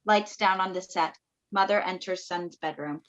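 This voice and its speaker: A woman's voice: on the low side, normal speed, dull audio. A woman reading stage directions as scripted narration for a staged play reading over a video call.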